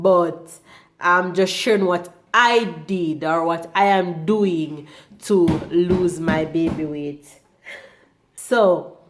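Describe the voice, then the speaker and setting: A young woman, hoarse from a cold, chatting casually in a home vlog about her diet, with many ums. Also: on the low side. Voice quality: slightly rusty voice. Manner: chatty, unhurried, frequent ums. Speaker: vlogger.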